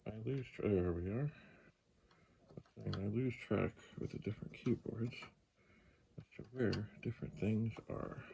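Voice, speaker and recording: A man with a low, gravelly voice talks through a casual hands-on demo. He thinks aloud at a very slow pace, in short broken phrases, with faint noise behind him. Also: mellow. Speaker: host of a retro computer channel.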